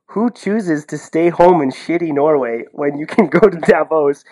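A Canadian man giving casual, opinionated sports analysis in an animated, colloquial way, as a podcast co-host. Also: fairly high pitch, moderate pace. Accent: Canadian English. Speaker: podcast co-host and ski commentator.

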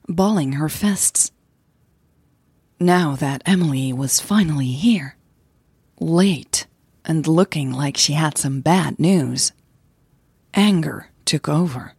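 A woman narrating a scripted romantic audio drama in a soft, measured storytelling manner. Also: low-pitched, breathy edge, no echo. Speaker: narrator and podcast host.

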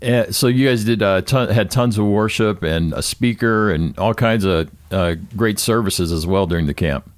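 A man's voice: lowish voice, moderate pace, few pauses, dry recording. A man hosting a church podcast, asking questions in a friendly, encouraging way. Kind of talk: casual podcast interview.

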